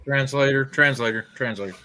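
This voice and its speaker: A man's voice: medium pitch, a little fast, crowd noise. A man joking around and teasing others in a casual group video chat.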